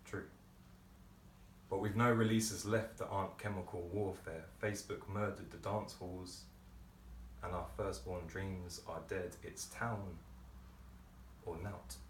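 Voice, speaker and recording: A man performs a dramatic spoken-word monologue, theatrical and deliberate, leaving pauses between phrases. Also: low voice, steady tone. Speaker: poet performer. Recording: roomy, distant microphone, background noise.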